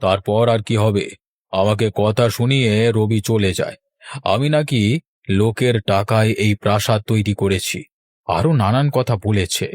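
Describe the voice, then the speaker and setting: A man voicing a stern, principled middle-aged village landowner in a cartoon, speaking in a measured, formal way. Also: on the low side, a little mellow, no echo. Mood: grave. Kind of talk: cartoon voice acting.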